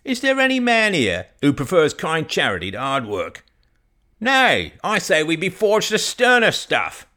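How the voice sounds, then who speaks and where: A man voicing a blunt old rustic farmer who speaks in a folksy, deliberate way. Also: on the high side, huge pitch swings, pushed and bright, voice pushed up, full character voice. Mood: gruff persuasion.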